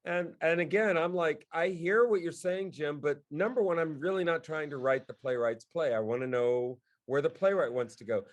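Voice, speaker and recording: A man's voice: a little high, normal speed, few pauses. A man in casual conversation, telling stories by acting out bits of remembered dialogue. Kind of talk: casual chat.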